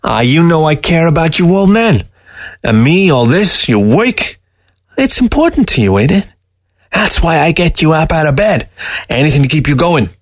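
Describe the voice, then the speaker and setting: A sly storybook fox acted out by a man, high-pitched and taunting. It needles its listener with folksy, sardonic teasing, in a dry, close recording. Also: big pitch swings, moderate pace, no echo. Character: sardonic, taunting dead fox, talking to its taxidermist.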